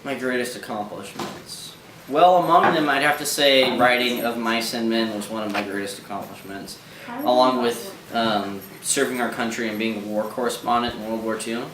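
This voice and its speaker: A man's voice: medium pitch, steady tone, moderate pace, reverberant, far from the mic, a crowd in the background, close to an everyday voice, low-quality recording. A young man playing a boastful novelist on a school mock talk show, blunt and casual. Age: teens to young adult. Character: proud, boastful male author guest. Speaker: student playing a famous novelist.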